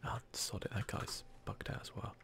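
A British man giving casual, hushed ASMR commentary on a video game, grumbling mildly as he plays. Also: moderate pace. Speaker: gaming video host.

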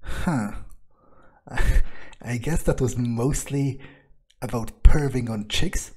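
A man's voice: mid-range voice, slow pace, a little mellow, slightly rough. A man roleplaying as he plays a game, thinking aloud in first person with casual swearing. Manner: musing, sweary. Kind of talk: in-character first-person musing over gameplay.

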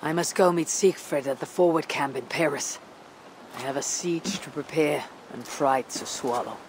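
A woman playing a stern, weary Viking warrior leader in a video game, grave and measured. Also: deep voice, noisy background. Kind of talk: dramatic game cutscene acting.

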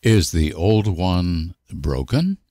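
A man reading short example sentences for language learners with deliberate, careful enunciation. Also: low-pitched, big pitch swings, mellow, dry recording, studio quality. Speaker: language teacher.

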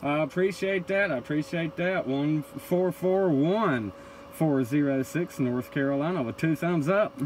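A man's voice: fairly high pitch, a little slow, a little mellow. A man operating a CB radio, calling out and answering contacts in short radio phrases. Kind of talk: CB radio calls. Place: indoor radio room. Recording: noisy room with radio static.

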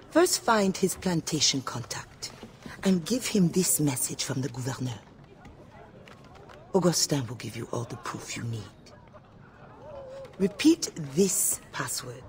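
A woman's voice: sits low, very animated, measured pace, pauses often. An actress playing a sly, poised society woman, coy and commanding in a game cutscene. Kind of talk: video game cutscene acting.

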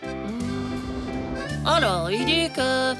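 Cheeky high-pitched cartoon boy. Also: lively intonation, very slow, loud music behind the voice, full character voice. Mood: smug, scheming. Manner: boastful, slangy, childish. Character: cheeky, excitable ten-year-old boy, a boastful schemer. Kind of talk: animated cartoon dub.

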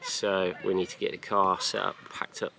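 A man in his thirties talking casually to a handheld camera while camping, relaxed and chatty with plenty of ums. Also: low-pitched, normal speed, gravelly. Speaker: family vlogger. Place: outdoors on a campsite field. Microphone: camera at arm's length. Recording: noisy outdoor recording.

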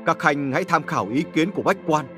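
Higher-voiced, dignified emperor. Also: male voice, expressive, pushed and bright, soft background music. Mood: stately. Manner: formal, deliberate, authoritative. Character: dignified Vietnamese emperor, exacting but gracious with his officials. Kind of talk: historical cartoon voice acting.

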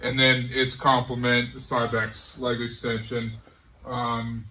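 A middle-aged man casually showing off his garage gym, talking in a relaxed, rambling way with many pauses. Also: medium pitch, distant mic, slight background noise, low-quality recording. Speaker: home gym owner giving a tour. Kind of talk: casual walk-through tour. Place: large garage home gym.

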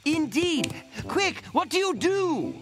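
Cartoon ape mentor: erudite, exasperated, dry, very swooping intonation, measured pace. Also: male voice, very high voice, big pitch swings, heavily acted. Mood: lecturing, exasperated. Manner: erudite, dry, patient lecturing. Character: articulate, exasperated male ape, mentor to a dimwit. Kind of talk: animated cartoon acting. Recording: music clearly behind.

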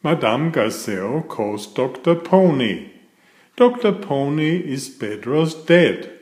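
Guy reading kids story out loud, slow n animated, bit of a roomy echo. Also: a little high. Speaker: children's book reader. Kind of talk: expressive read-aloud storytelling for young children.

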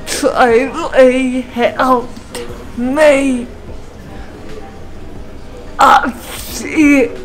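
A young New woman talks very slowly, halting and restarting her phrases with effort. Her voice sits a little high. Soft music plays under the interview. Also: rough recording. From New Zealand. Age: young adult. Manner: slow, halting, effortful speech with many restarts. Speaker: trainee personal trainer. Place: gym, indoors.

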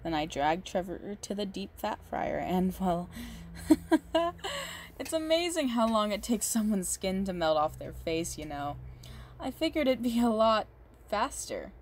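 A woman playing a big, muscular goth girlfriend, possessive and unhinged yet doting, in an intimate, halting ASMR roleplay monologue. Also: mid-range voice, very animated, slight background noise. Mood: casually menacing. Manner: slow, halting, doting, menacing under sweetness.

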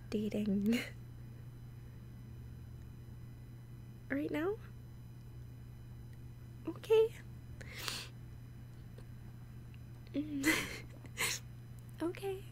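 A woman playing a nervous, awkward, obsessively lovesick young woman in an intimate audio roleplay, halting and often slipping into whispers. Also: extremely high pitch, big pitch swings, a little mellow, slight background noise, heavily acted. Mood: shy, hopeful. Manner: halting, hesitant, breaking into whispers.

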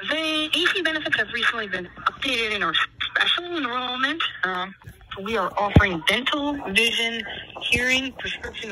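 A woman telemarketer making a scripted sales call, polite and formulaic. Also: mid-range voice. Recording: noisy telephone call.

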